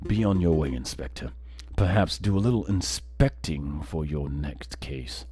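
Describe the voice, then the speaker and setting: A man puts on a stern game judge with a very low, weighty voice. He is pompous and measured, handing down scorn, with music underneath. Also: lively intonation, dark tone, breathy edge, exaggerated voice. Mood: stern, scornful. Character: stern, scornful old male courtroom judge, deep and imperious.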